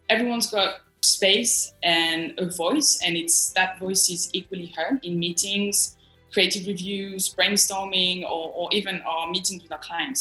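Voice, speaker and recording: A woman's voice: medium pitch, pushed and bright, roomy sound, poor audio. A woman from northern France with a French accent, giving earnest, reflective answers as an interview guest. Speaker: interview guest, design agency executive.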